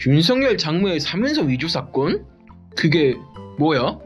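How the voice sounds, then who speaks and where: A woman voicing an excitable, blunt, slangy sidekick in an animated explainer, exclaiming and questioning in disbelief. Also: low-pitched, normal speed. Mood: incredulous. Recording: music bed behind the voice.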